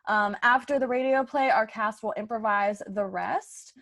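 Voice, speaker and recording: A woman's voice: fairly high pitch, normal speed, fairly bright tone. A woman hosting a podcast in a chatty, informal, giggly way. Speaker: podcast host. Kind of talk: casual show introduction.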